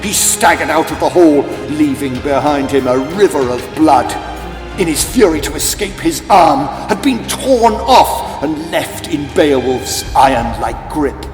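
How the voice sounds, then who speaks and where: A man's voice: fairly high pitch, expressive, a little slow, a little mellow. A man narrating a children's audiobook as a dramatic, scripted storyteller. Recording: echoey, long hall-like reverb. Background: music.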